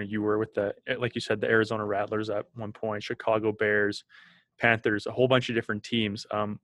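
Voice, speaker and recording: Low-voiced easygoing podcast host. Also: male voice, fairly bright tone, no room sound. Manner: easygoing, brief acknowledgments. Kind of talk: interview questions.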